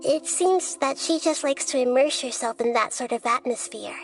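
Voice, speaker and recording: High, gentle young goddess. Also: female voice. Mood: explaining, calm. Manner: measured, formal, poetic phrasing. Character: gentle, childlike young goddess, wise and gracious. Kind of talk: scripted video game dialogue.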